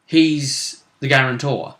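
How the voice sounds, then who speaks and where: A man hosting a casual chat about a tabletop role-play game, telling it with emphatic, drawn-out phrasing. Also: medium pitch, fairly even intonation, fairly bright tone. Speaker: host. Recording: roomy, echoey room sound.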